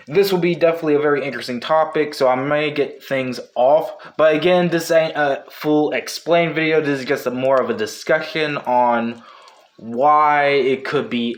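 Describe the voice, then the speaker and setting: Male video host, casual off-the-cuff commentary, mid-range voice, rambling and self-correcting delivery. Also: medium pitch.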